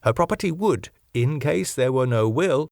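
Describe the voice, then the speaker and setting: Guy doing a self-satisfied lawyer in an audiobook, pitched up, fussy and formal, dry sound. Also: expressive, moderate pace, darkish tone, no echo, lightly acted. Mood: fussy, officious. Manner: formal, verbose, officious. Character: genial, fussy, self-satisfied male solicitor.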